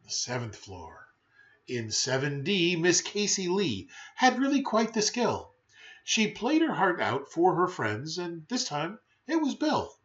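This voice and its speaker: A man's voice: high voice, wildly swooping pitch, measured pace, fairly bright tone, muffled recording. A man reading a children's rhyming picture book aloud in a theatrical, playful, emphatic way with brief chatty asides.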